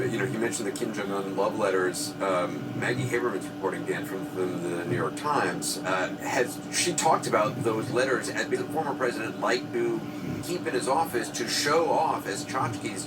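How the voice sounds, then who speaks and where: A man hosting a news interview, putting questions to guests in halting phrases. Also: on the low side. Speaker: news show host. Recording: very noisy, distant-sounding audio with hum.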